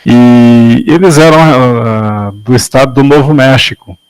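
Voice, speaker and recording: A man telling a personal story in a casual study-group discussion on a video call, in a calm, measured way. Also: medium pitch, over music, rough recording. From Brazil.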